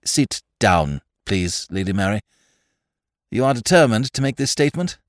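An earnest male detective from a novel , as a man reading aloud acts him. He is protective and distressed, pleading with formal courtesy and emphatic repetition. The delivery is very varied in pitch, in a dry space. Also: animated, moderate pace, no room sound, lightly acted. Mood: grimly composed.

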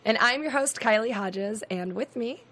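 A young woman hosting a casual recap show, a bit hoarse, talking quickly and chattily. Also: on the high side, bright, forceful tone. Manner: chatty, quick asides, laughing. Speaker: host. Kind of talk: casual chat.

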